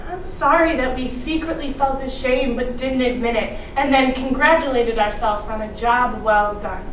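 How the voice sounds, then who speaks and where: A young woman performing scripted ensemble storytelling on stage, with a theatrical, deliberate delivery. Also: sits high. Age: young adult. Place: live stage performance in a hall. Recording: echoey hall from far off, noisy.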